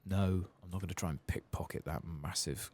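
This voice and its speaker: A man giving soft-spoken ASMR commentary as he plays a video game, casual and sweary, muttering to himself. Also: sits low, fairly even intonation, moderate pace. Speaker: streamer playing a role-playing video game.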